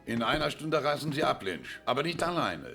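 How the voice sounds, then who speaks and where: Stern general, expressive, music behind. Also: male voice, mid-range voice, brisk, creaky. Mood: commanding. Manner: authoritative, grave. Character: stern, commanding British general, grave and insistent. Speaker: video game character in cutscenes. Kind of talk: dramatic briefing in game cutscene.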